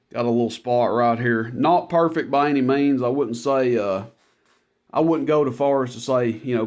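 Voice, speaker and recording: A man's voice: mid-range voice, darkish tone. A man talking in a folksy, plainspoken, unscripted way as he demonstrates gear he is selling. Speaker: product demonstrator, radio seller. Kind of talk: casual product demo.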